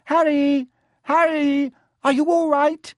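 A man's voice: sits high, animated, moderate pace, darkish tone, slightly rough, dry recording, clean studio sound, voice pushed up, full character voice. A man voicing an earnest, anxious, bookish young schoolgirl witch in an audiobook. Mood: alarmed. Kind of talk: audiobook character voice.

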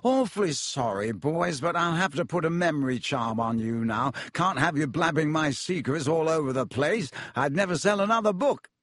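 A man voicing a vain, boastful wizard teacher who talks down to everyone in a smooth, self-satisfied way. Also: fairly high pitch, expressive, normal speed, hardly any pauses, no room sound, clean studio sound, pitched above the performer's own voice. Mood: smug. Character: vain, pompous fraud of a male wizard teacher.

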